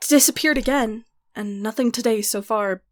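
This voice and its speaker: A woman playing an anxious, protective wife and mother in an audio drama, halting and emotional. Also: on the high side, close to an everyday voice. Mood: worried.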